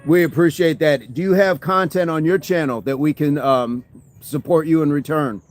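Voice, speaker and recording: Guy hosting a fitness livestream, kinda high voice, chatty, laughs a lot, reads out comments. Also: moderate pace. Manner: chatty, laughs often, thanks viewers by name. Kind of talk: casual livestream chat reading comments.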